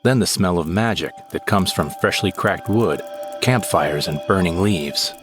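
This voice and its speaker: A man's voice: low voice, measured pace. A man narrating a comic audio drama in the first person, in a wry, theatrical storytelling manner. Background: music.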